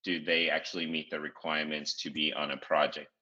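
CEO guest, very deep and bright, measured with many pauses, on a dull lo-fi recording. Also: male voice, flat delivery. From Zimbabwe. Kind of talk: interview answers. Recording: dull lo-fi audio.

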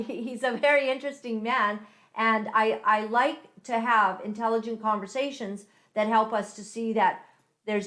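A woman hosting an interview, talking in a chatty, enthusiastic way and laughing often. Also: on the high side. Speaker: podcast host. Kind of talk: interview chat.

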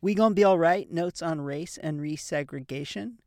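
A man hosting a podcast interview, friendly and quick to laugh, often stumbling and restarting his sentences. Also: on the high side, a little mellow, no echo, clean studio sound. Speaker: podcast host.

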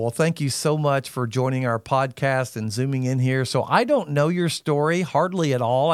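A man's voice: fairly high pitch, no room sound, clean studio sound. A man hosting a podcast interview, earnestly asking a guest probing questions. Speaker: podcast host.

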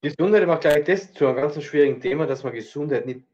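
A man's voice: on the high side, fast, darkish tone. Man co-hosting on dull lo-fi audio. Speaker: podcast co-host. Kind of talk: casual spiritual chat.

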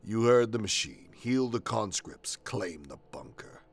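A man's voice: fairly low pitch, lively intonation, unhurried. A man playing a stern, war-hardened warband commander in an audio drama, curt and commanding. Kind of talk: scripted audio drama acting.

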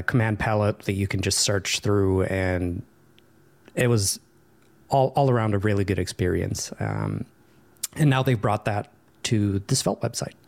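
A man's voice: lowish voice, gravelly, darkish tone. A man from Sweden with no Swedish accent, chatting easily as a podcast guest and explaining things at length. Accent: none, sounds American rather than Swedish. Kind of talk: casual podcast chat.

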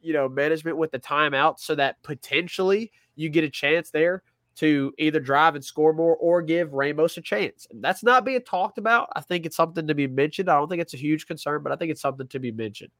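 A man with a slightly high voice, nasal and congested from a cold, chatting loosely about football. He rambles, restarts his sentences and thinks out loud. The sound is close and dry. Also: brisk, no room sound. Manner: rambling, self-correcting, thinking aloud. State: stuffy from a cold. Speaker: podcast co-host. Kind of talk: casual sports talk.

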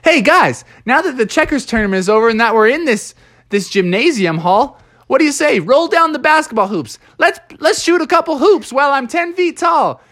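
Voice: high voice, very animated, normal speed, fairly bright tone, pitched above the performer's own voice. A storyteller voicing a cocky, mocking skunk who laughs at his rival, told in an improvised tale. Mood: excited. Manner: taunting, laughing at others.

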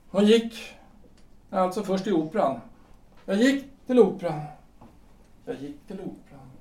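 High-voiced anguished husband played by a man, roomy sound. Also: mellow. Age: 40s. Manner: halting, repeats phrases, emotional. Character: anguished middle-aged husband, brooding, insistent, self-doubting. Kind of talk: theatrical period audio drama acting. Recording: roomy, distant from microphone.

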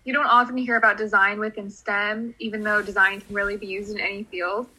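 A woman's voice: mid-range voice, little pitch movement, fairly quick, bright, forceful tone, husky, rough recording. A young woman from Missouri, a graduate student, co-hosting a podcast in a friendly, conversational way. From outside St. Louis, Missouri.

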